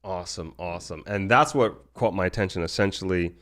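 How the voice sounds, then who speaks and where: Man, fairly low pitch, casual podcast host, halting conversational phrasing. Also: a bit bright. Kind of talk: casual interview chat.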